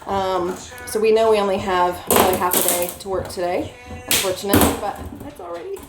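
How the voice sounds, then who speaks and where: A woman's voice: medium pitch, fairly quick, heavy background noise. An Australian woman chatting casually to a vlog audience in a lightly joking way. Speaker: vlogger. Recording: music playing behind the voice. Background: radio music.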